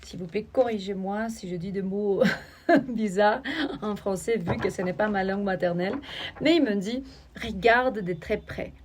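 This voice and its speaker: A woman giving a spiritual oracle card reading, talking straight to the viewer in a searching, halting way. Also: mid-range voice, a little mellow, slight background noise.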